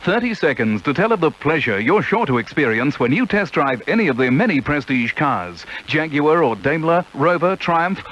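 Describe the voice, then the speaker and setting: A man's voice: on the high side, fairly bright tone, lo-fi sound. A man reading a scripted commercial as a radio announcer, on an old early-eighties broadcast tape. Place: radio studio.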